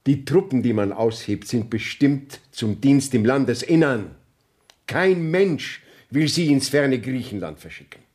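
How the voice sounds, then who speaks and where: A man plays a stately court official in a radio drama. His speech is formal and declamatory, with commanding authority and a pitch that swings widely from phrase to phrase. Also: lively intonation, a little slow, darkish tone, close to an everyday voice. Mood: authoritative. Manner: declamatory, formal, precise diction. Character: male court councillor, formal, commanding official inspecting a village court. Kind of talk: radio play acting.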